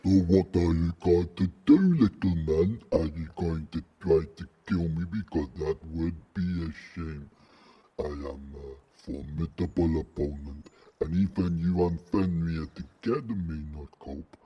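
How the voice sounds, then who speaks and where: A man voicing a gigantic, peaceable troll in an audio drama, husky and ponderous, with broken grammar and a wariness of violent humans. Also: low-pitched, a little slow, muffled recording, voice pushed down. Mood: calm, weary resolve. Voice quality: husky, dark voice.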